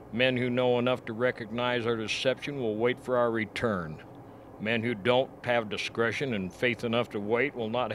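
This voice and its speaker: A man playing a grave, earnest expedition doctor in a radio play, reasoning in a measured way. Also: on the low side, a bit bright, lightly acted. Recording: faint background noise.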